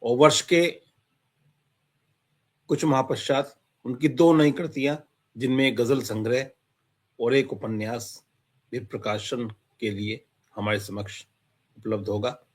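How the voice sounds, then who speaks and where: A man's voice: medium pitch, slow pace, pauses often, husky. A man with a mid-range voice who introduces the guest poet in formal terms. He keeps breaking in with short exclamations of praise. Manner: interjects frequent praise. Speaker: host of a literary show.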